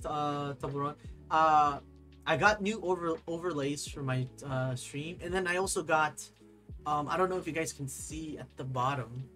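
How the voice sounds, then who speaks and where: A young man chatting casually on a live stream at his desk, rambling and excitable, reading chat aloud and laughing often. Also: a little high. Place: desk at home, overhead camera.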